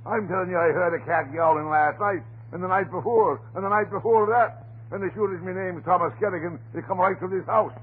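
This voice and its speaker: An actor playing a chatty, stubborn off-duty police sergeant in an old-time radio drama, with a blustering, folksy way of talking. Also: male voice, high voice, brisk, husky. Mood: indignant, insistent. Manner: blustering, garrulous, folksy turns of phrase. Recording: noisy, narrow-band vintage radio recording.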